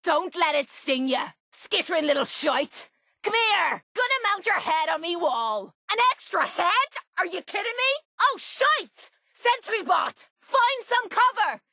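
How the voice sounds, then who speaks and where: A woman's voice: very high voice, big pitch swings, a little slow, vocal fry, bright, forceful tone, dry recording, clean studio sound, heavily acted. An actress voicing a tough, foul-mouthed young Irish woman, a bitter and wounded fighter in a video game, with a brash, blunt manner. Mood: combative, alarmed. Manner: brash, blunt, swearing, slangy. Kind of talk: video game character voice acting.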